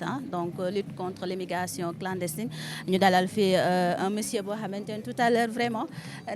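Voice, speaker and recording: A woman reporter talks in a conversational, prompting way, at a mid pitch for a woman. Loud outdoor ambience runs under her voice. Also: background noise. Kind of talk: field reporting.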